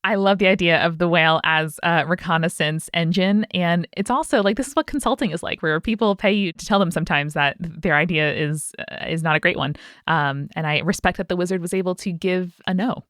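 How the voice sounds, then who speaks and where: A woman co-hosting a podcast, reading out a listener's story in an animated way with chatty asides. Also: on the low side, a little fast, no room sound, studio quality. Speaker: podcast co-host.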